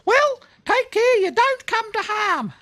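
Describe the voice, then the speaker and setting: Storybook cricket, tiny and nosy, extremely high squeaky voice, exclamatory and fussy. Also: male voice, expressive, takes it slowly, pushed and bright, a little hiss or hum, full character voice. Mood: astonished, admonishing. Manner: exclamatory, fussily admonishing. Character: tiny chirpy male cricket, brisk nosy elder. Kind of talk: children's audiobook character voice.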